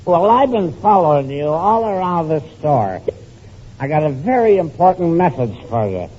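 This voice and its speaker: An actor playing a cheeky, excitable young male telegram messenger in 1940s radio comedy, gleeful and repeating himself. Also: fairly high pitch, normal speed, slightly rough. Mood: eager. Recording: muffled, noisy 1940s radio recording.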